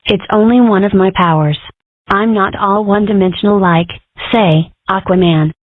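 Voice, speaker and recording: A woman's voice: medium pitch, moderate pace, no room sound, close to an everyday voice. A woman voicing a brash, eccentric cartoon schoolgirl who declares things bluntly and theatrically in an amateur comic dub. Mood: self-assured. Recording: thin, phone-like lo-fi audio.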